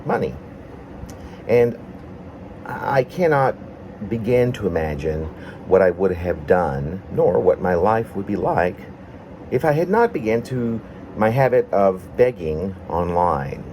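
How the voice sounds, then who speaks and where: Man, very low voice, poet sharing his own writing, personal spoken delivery, clear background noise. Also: very animated, slow pace, a little mellow. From Arkansas, United States. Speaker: poet reading own work. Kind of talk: casual introduction, then reading aloud autobiographical prose poem.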